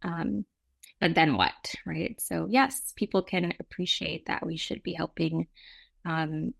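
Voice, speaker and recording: A middle-aged woman from Jamaica speaks as a nonprofit representative in an interview. Her voice sits on the low side and has a strong creak. She explains things reflectively, adding asides as she goes. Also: fairly even intonation, takes it slowly. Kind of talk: conversational interview answers.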